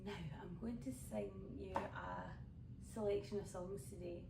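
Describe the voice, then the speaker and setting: A woman's voice: medium pitch, steady tone, measured pace, vocal fry. A woman with a Scottish accent from the west coast of Scotland, a folk singer chatting casually and rambling a little as she introduces her songs for an online showcase. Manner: chatty, rambling storyteller with many fillers. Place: home room streaming online. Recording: roomy, distant mic with background noise.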